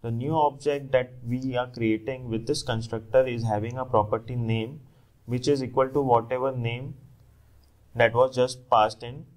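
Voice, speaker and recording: A man's voice: medium pitch, moderate pace, rough recording. A young man narrating a screen-recorded coding tutorial, explaining each step plainly and correcting himself now and then. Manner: steady step-by-step explaining with small self-corrections. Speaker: programming tutor.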